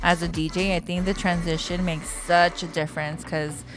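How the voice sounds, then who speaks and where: A woman's voice: fairly low pitch, flat delivery, normal speed, rough recording. Bubbly, laughing woman podcast host. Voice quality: mellow voice. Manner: bubbly, laughs often, chatty girlfriend tone. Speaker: podcast host and makeup artist. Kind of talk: casual interview chat. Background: house music playing.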